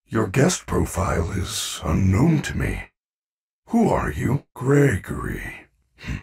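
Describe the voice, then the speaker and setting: Casting: caring animatronic bear mascot for a game, formal and earnest, lively intonation, raspy edge. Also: male voice, medium pitch, recorded from a distance, full character voice. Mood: earnest, concerned. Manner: earnest, formal, precise speech without contractions. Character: kind, protective male animatronic bear entertainer robot. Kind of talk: video game voice acting.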